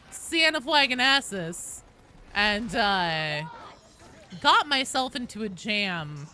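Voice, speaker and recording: Female game streamer, lively swinging intonation, profane joking slangy chat, clear ambient noise behind. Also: medium pitch. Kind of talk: casual live-stream chat while playing a game.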